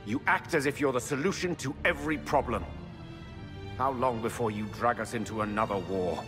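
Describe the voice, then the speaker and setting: A man's voice: medium pitch, a little fast, big-room sound, music in the background, close to an everyday voice. A man voicing a stern accusing envoy. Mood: indignant. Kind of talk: video game voice acting.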